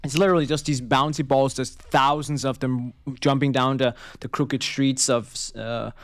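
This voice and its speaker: A man's voice: medium pitch, fairly even intonation, moderate pace, dry recording. A man co-hosting a marketing podcast, chatting casually and rambling with fillers and restarts. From Denmark. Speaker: podcast co-host. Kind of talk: casual chat.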